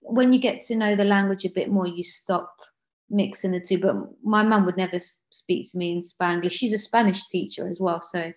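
A woman's voice: medium pitch, moderate pace, a little mellow. Earnest Englishwoman with a dull lo-fi sound. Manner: earnest, many restarts and self-corrections. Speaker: guest author. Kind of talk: casual interview conversation. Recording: slightly dull lo-fi audio.

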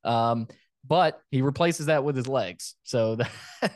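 A man's voice: mid-range voice, slow, darkish tone, no echo, studio quality. A man hosting a sports podcast in casual football chat, loose and conversational, often repeating his phrases.

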